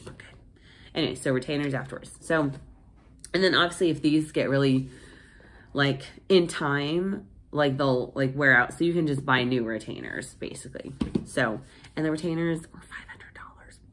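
A woman's voice: low-pitched, moderate pace, a bit bright, slight background noise. A woman in her thirties chatting casually to camera in a home office, rambling with lots of fillers and restarts, sounding nasal from a cold. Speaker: vlogger. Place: small home office indoors. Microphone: phone camera at desk.

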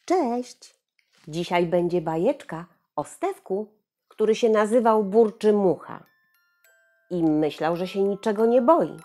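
A woman's voice: mid-range voice, expressive, takes it slowly, warm tone, faint music behind. A middle-aged woman telling a children's story theatrically, speaking directly to young listeners. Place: small furnished room at home, indoors.

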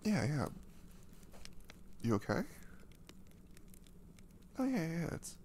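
A man playing an affectionate, dorky young boyfriend in an ASMR roleplay, speaking softly and breathily, often near a whisper, in a halting, tender way. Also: fairly high pitch, huge pitch swings, a little hiss or hum, exaggerated voice. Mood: nervous, earnest.

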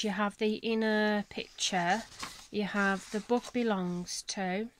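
A woman hosting a colouring channel, chatting casually at her table at home, her voice croaky from a cold and her talk apologetic and rambling. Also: mid-range voice, slight background noise. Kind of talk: casual chat showing colouring books. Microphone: camera on a selfie stick above the table.

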